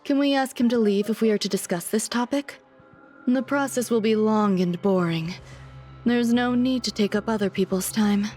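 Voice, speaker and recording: A woman voicing a composed, politely cool female scientist in a video game, reserved and measured. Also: on the high side, darkish tone, faint music behind, close to an everyday voice.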